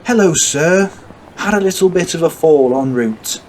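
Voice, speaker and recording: A woman's voice: lowish voice, lively intonation, moderate pace, darkish tone, slight background noise, voice pushed down, natural voice. A woman voicing a scheming boy who puts on pompous, over-formal politeness in a crime audio drama. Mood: falsely polite. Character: scheming teenage boy feigning injury with mock-posh politeness.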